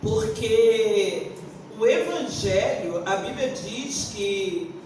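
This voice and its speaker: A Brazilian woman of sixty-one answering on a forum panel like a pastor, deliberate and emphatic, searching for words as she goes. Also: mid-range voice, lively intonation, darkish tone. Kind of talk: unscripted panel answer with preaching tone. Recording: echoey room, distant mic, faint noise.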